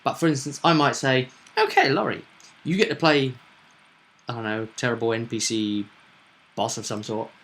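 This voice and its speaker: A man's voice: mid-range voice, moderate pace, fairly bright tone. A man running a tabletop roleplaying game, explaining things in a reflective, conversational way. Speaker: game master.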